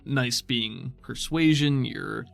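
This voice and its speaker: A man's voice: medium pitch, slow, creaky, faint music behind. A man speaking as game master of a tabletop role-playing game, explaining rules and narrating scenes in a casual, conversational way.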